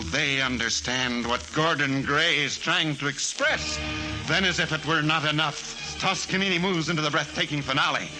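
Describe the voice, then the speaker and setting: An actor playing a grandiose, unstable composer in a theatrical 1940s radio drama style, with rhapsodic delivery and dramatic pauses. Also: male voice, fairly high pitch, close to an everyday voice, rough recording. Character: grandiose, unstable male composer, feverish and doomed. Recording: old 1940s radio recording, music behind.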